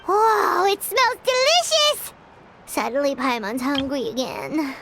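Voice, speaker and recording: A woman voicing a tiny, excitable female companion in a video game, bubbly and loud. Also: high voice, takes it slowly, background noise, exaggerated voice. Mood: excited. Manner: bubbly, loud, talks of herself by name. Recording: game dialogue over music.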